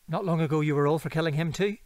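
A man playing an earnest, excitable young housemate in an audio drama. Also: on the high side, racing, no room sound, natural voice.

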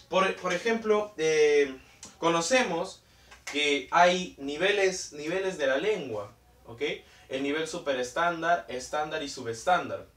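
A young man teaches in front of a whiteboard. He breaks each term into steps, repeats key words and keeps checking in with listeners. His fairly high voice comes from a few steps off the camera mic, and the room gives it a clear echo. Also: a bit bright, far from the mic. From Peru. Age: young adult. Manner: explanatory, repeats key terms, frequent "¿ok?" check-ins. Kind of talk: whiteboard lesson. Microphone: camera mic from a distance.

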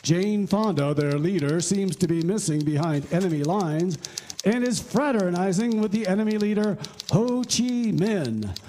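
A man's voice: high voice, warm tone, a little hiss or hum. A man playing a solemn 1960s evening news anchor, reading a scripted parody bulletin.

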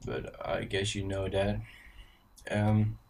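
A man's voice: fairly low pitch, little pitch movement, a little mellow, recorded from a distance. A man with a Dutch accent walking through a synth patch, talking casually with frequent ums and self-corrections. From Netherlands. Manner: casual, halting, many ums and restarts. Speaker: synth tutorial presenter. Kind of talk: screen-recorded software tutorial. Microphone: voice-over on screen recording.

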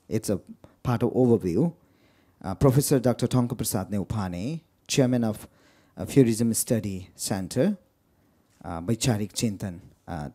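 Halting Nepali-accented man, very dark voice. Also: lowish voice. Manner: halting, many fillers and restarts. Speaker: moderator. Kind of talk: introducing a speaker at a book talk. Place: lecture room.

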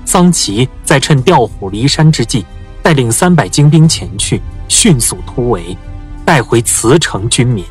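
A man playing a calm, gentle-spoken military strategist in a period audio drama, with measured delivery. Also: medium pitch, soft background music, close to an everyday voice. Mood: calm, assured.

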